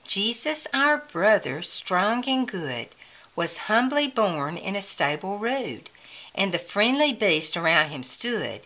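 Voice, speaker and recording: Woman reading slowly, with lively swooping pitch. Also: poor audio. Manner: deliberate, counting out for children. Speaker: children's book reader. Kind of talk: read-aloud of a children's picture book.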